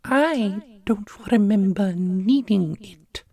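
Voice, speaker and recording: A man voicing a defiant, sharp-tongued companion of a dark prince in a fantasy audio roleplay, with an insolent manner. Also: sits high, creaky, pitched above the performer's own voice, full character voice. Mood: sarcastic. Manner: quick, sarcastic, insolent.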